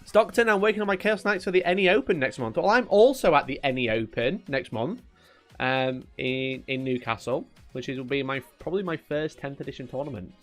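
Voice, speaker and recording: A man's voice: medium pitch, lively intonation, brisk, soft background music. A man with a Yorkshire accent, casually chatting at his desk on a live stream, reading viewers' messages, joking, teasing and laughing often. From Northern England.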